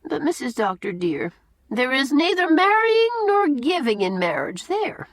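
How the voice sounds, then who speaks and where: A woman's voice: sits high, big pitch swings, unhurried, dry recording, pitched above the performer's own voice. A woman reading aloud and voicing a talkative older housekeeper with quaint rural phrasing. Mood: sighing. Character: chatty older female housekeeper, rustic and fussy.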